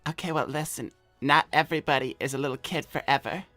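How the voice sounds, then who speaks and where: A man's voice: a little high, fast talker, sharp tone, no room sound, soft background music. A man voicing a cocky, touchy adult hedgehog ladies' man in improvised livestream banter, with a pompous, defensive manner. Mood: cocky, puzzled. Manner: defensive, pompous new-age phrasing.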